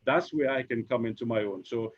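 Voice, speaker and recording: Halting Trinidadian man, dull lo-fi sound. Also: medium pitch. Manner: halting, repeats words, many fillers. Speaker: insurance operations executive, panel guest. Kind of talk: conversational panel discussion. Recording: dull lo-fi recording.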